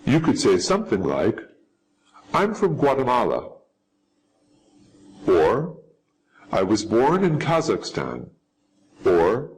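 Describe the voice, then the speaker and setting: A man reading model answers slowly and carefully in a scripted lesson. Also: mid-range voice, huge pitch swings, low-quality recording. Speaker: English teacher.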